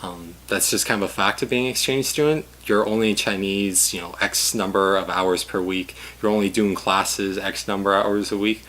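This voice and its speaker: Teenage American man, lowish voice, easygoing advice monologue with frequent "um"s. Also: fairly even intonation, moderate pace, few pauses, fairly bright tone. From United States. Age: teens. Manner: loose and conversational, many "um" fillers. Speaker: former exchange student giving advice.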